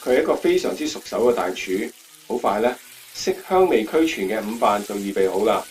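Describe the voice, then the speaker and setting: A male actor narrating a life story in a radio drama in expressive Cantonese, as an admiring storyteller. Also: fairly low pitch, fairly quick, natural voice, rough recording.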